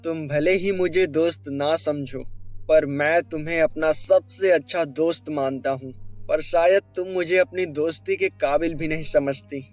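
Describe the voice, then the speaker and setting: Casting: actor as sincere lovelorn young male boss, animated melodrama, courteous, slightly high voice. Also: measured pace, natural voice. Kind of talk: Hindi animated melodrama dialogue. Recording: music bed behind the voice.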